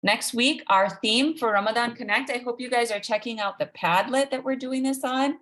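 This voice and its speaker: A woman's voice: a little high, normal speed, a bit bright. A woman hosting a live online event for children, talking informally and directly to listeners as she makes announcements. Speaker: host of an online read-aloud event.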